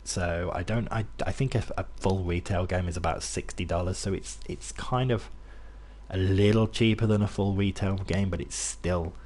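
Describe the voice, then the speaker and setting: Low husky young British man. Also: fairly even intonation, normal speed, darkish tone. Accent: British, mixed, not Brummie. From England, near Birmingham. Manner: rambling, many restarts and fillers, chatty asides. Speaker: gaming streamer. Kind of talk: casual gameplay commentary to chat.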